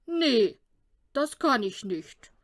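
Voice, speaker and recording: Lady doing a sleepy little talking puppy boy, polite, storybook style, high voice. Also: animated, extremely slow. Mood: lazy refusal. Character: small, sleepy, unbothered talking male poodle puppy.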